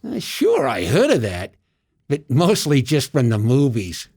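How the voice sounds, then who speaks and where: An actor playing an older, folksy American diner cook and army veteran in a radio drama, plain-spoken and a little hesitant. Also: male voice, medium pitch, huge pitch swings, unhurried, darkish tone, slightly rough, exaggerated voice. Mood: modest, hesitant.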